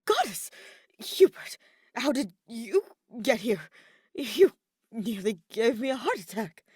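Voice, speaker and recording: A woman's voice: fairly high pitch, very animated, slow, darkish tone, slightly rough, no room sound, clean studio sound, pitched above the performer's own voice, heavily acted. A woman voicing a proud, earnest young nobleman student with an indignant, formal, emphatic manner in an audiobook. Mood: startled. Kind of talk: audiobook character acting.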